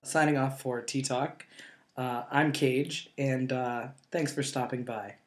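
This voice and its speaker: A man's voice: medium pitch, noisy background. Casual young man in his twenties. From northeastern Ohio. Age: 20s. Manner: relaxed, many fillers and pauses. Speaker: co-host. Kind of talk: casual chat.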